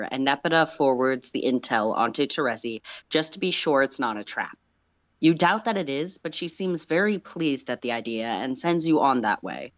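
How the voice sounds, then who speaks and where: A woman co-reading on a livestream, narrating a story informally. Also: a bass voice. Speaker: livestream co-reader.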